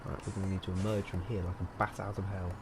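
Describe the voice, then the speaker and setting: A man's voice: sits low, flat delivery, fast talker. A young man casually live-streaming a driving game, rambling, joking at his own expense and swearing as he chats to viewers. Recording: loud game engine and traffic noise behind.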